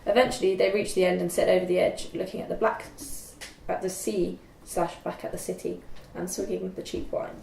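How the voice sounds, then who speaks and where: A young woman reading screen directions as narrator at a script table read, halting and stumbling a little over the text. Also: lowish voice, level tone, gravelly, a little mellow, slight background noise. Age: young adult. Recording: echoey room.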